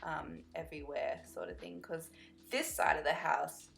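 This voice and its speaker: Young woman, slightly croaky and creaky, lowish pitch, casual gaming voice-over, rambling, music behind. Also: sing-song, moderate pace, a bit bright. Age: young adult. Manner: rambling, self-interrupting, easily sidetracked. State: slightly croaky from lack of sleep. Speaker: gaming video creator. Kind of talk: casual chatty voice-over commentary on a game build.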